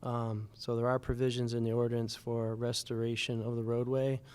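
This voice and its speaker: Flat-voiced man explaining city policy. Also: mid-range voice, clean tone. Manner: measured, many fillers, matter-of-fact. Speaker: city public works official. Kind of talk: explaining at a public meeting. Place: city board meeting room.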